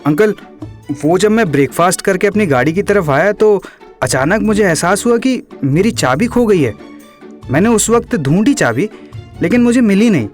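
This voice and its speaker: An earnest, courteous young man, played by a male actor in an audio drama. The voice is rather high, over light music. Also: animated, moderate pace. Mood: earnest, polite. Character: polite, earnest young man explaining himself at length.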